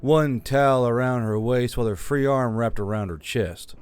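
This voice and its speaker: Male audiobook narrator, medium pitch, scripted fantasy storytelling. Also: moderate pace, a little mellow, clear, resonant tone. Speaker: audiobook narrator, channel host.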